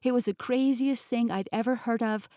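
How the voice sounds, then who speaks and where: A young adult woman narrating a first-person novel in a clear, expressive storytelling manner, recorded on a close studio mic. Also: a little high, moderate pace, no room sound. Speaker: audiobook narrator.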